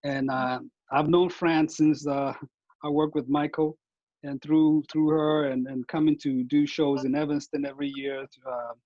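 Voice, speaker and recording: A man, a painter, speaking earnestly and haltingly about his work and community over a video call. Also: fairly high pitch, darkish tone, dry recording. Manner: earnest, halting, repeats words. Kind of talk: casual artist talk on video call. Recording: dull, dry video call audio.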